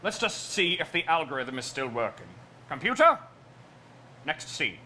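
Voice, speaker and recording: A man's voice: a little high, sing-song, moderate pace, sharp tone, a little hiss or hum, heavily acted. A man playing a terse, formal starship officer in a parody stage reading. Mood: urgent, formal. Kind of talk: parody stage musical acting.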